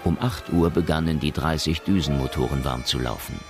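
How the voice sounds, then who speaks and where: An actor narrating a science fiction radio drama as a sober storyteller. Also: male voice, a bass voice, brisk, music in the background. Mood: sober, measured.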